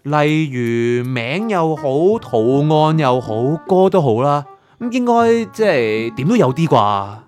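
A man's voice: on the high side, big pitch swings, slow, darkish tone, faint music behind. A man with a Hong Kong Cantonese accent playing a curious, chatty young man in an audio drama. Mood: curious, probing. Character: curious, chatty young man probing a soul prosecutor's past.

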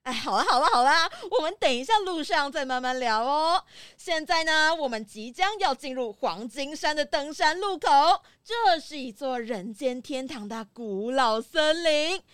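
一位女演員在兒童廣播劇中扮演熱情招呼、指揮團員的變色龍旅行團團長。. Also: female voice, sits high, expressive, normal speed, a bit bright, no room sound, clean studio sound. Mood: organising. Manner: announcing, organising the group. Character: welcoming, brisk chameleon tour leader. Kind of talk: children's detective audio drama acting.

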